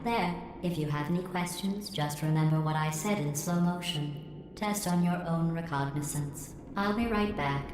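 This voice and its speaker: A woman voicing a cold, sarcastic female AI overseer in a video game, delivering deadpan, dry insults. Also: lowish voice, moderate pace, lightly acted. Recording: heavily echoed game audio with music.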